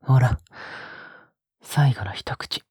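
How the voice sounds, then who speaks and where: A woman playing a doting, possessive captor in an intimate audio drama, coaxing and cooing softly with a breathy voice that often drops toward a whisper. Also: extremely low pitch, drawn-out words, no room sound, clean studio sound, exaggerated voice. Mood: coaxing, sweetly controlling, then whispered praise. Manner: coaxing, teasing, cooing as if to a child.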